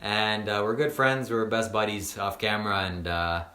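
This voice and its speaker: Male guest, twenties, earnest and rambling, forceful tone, roomy recording. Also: lowish voice, normal speed. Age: 20s. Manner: earnest, repeats himself, many fillers. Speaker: podcast guest, spiritual teacher. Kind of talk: casual podcast chat.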